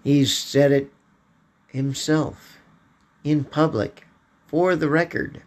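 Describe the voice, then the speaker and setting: A man with a hoarse voice, hosting a political commentary podcast in a slow, halting way, often clearing his throat. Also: on the high side, fairly even intonation, darkish tone. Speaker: podcast host.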